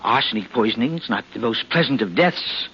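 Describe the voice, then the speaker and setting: An actor playing a stolid police sergeant, questioning in a measured, official way in 1940s radio style. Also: male voice, mid-range voice, animated, natural voice. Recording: muffled, noisy 1940s radio recording.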